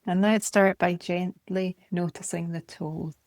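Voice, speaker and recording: Low, creaky-voiced woman guiding meditation. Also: dry recording, clean studio sound. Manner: calm, gentle, measured, with short phrases. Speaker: meditation teacher. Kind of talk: guided body scan meditation.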